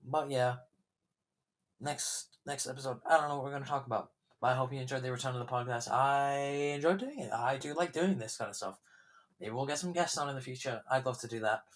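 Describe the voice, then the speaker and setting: A man's voice: medium pitch, fairly quick. A young man talks loosely on his own podcast, drifting from thought to thought with frequent fillers and restarts. His throat sounds slightly sore as he goes on. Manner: rambling, chatty, many fillers and restarts. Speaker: podcast host. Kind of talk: casual solo podcast chat.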